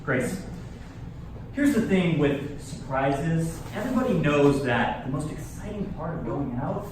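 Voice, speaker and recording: A man's voice: on the high side, lively intonation, a little slow. A man playing a suave, opinionated and wry party guest in a staged comedy reading. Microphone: distant room microphone. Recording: echoey noisy hall, distant mic.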